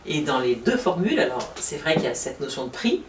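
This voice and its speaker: A woman answering interview questions about her housing association, talking fast with many hesitations. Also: rumbling low, sing-song, background noise. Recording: roomy sound from far off.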